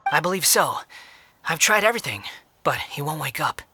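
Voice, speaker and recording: A man's voice: fairly high pitch, lively intonation, normal speed, noisy background. A man voicing a polite, easygoing young wandering vagrant with refined, courteous phrasing in a video game. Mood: genteel, amused. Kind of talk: video game cutscene acting.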